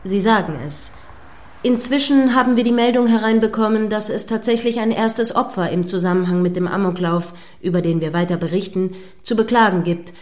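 Radio play casting: actress as prim female news anchor, mid-pitched, earnest, formal and wordy. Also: darkish tone, natural voice. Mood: official, grave. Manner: formal, measured, wordy phrasing. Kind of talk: satirical radio play as live news broadcast.

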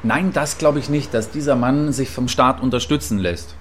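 A man's voice: mid-range voice, moderate pace, a little hiss or hum, natural voice. A man playing a stubborn, provocative radio field reporter in a radio play, musing hesitantly yet insisting on his view. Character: opinionated male field reporter, stubborn, quietly admiring, provocative.

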